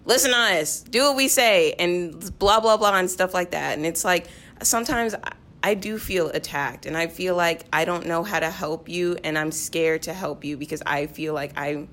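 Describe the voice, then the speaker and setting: Earnest young woman with a lowish voice. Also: moderate pace, a bit bright. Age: teens to young adult. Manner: earnest, candid. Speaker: podcast guest, cast member of a play. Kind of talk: casual, personal group discussion.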